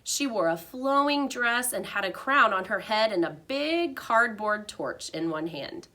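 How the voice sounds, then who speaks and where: Very animated woman reading a children's book. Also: mid-range voice, a little slow. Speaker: storybook reader.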